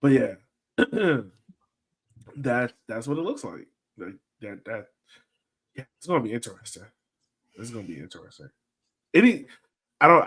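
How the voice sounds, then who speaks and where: A man's voice: mid-range voice, fairly bright tone. A man hosting a casual sports podcast panel, talking in an informal, slangy and playful way. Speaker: podcast host.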